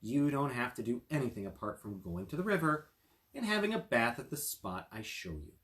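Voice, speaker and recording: A sly storybook cat voiced by a man speaks with courtly confidence. The pitch is lively and swooping, with a polite, persuasive manner made to flatter. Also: brisk. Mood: confident, coaxing.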